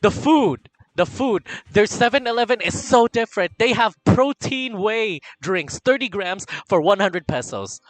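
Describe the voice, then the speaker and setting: A man from the Philippines chatting casually on a livestream, reading chat aloud in a campy, animated way, drifting between quiet mumbles and loud bursts of excitement. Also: high-pitched, unhurried, few pauses, no room sound, faint music behind, low-quality recording. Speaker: streamer.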